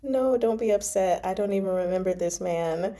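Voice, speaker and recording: A woman hosting a read-along, reading a novel aloud and reacting in an informal, expressive, slangy way. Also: medium pitch, moderate pace. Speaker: host of a live book read-along.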